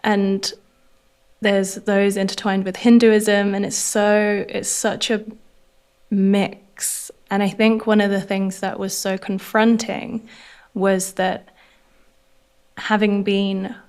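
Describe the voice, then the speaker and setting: A young adult woman from Indonesia speaking as a guest over a remote call, in a thoughtful, unhurried way with frequent pauses. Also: medium pitch, flat delivery, a little mellow, clean tone. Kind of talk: reflective conversation.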